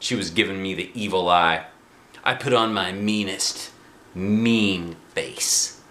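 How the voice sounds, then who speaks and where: A man, a dad, reading a children's book aloud with expressive, dramatic emphasis. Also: sits low, takes it slowly, echoey room. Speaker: storybook reader, a dad.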